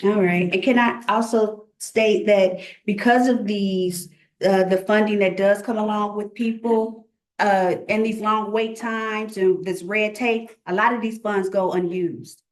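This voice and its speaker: A woman's voice: medium pitch, fairly even intonation, a little slow, recorded from a distance. A woman presenting her business, answering audience questions with brief, polite replies. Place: event room. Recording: voice from away from the mic.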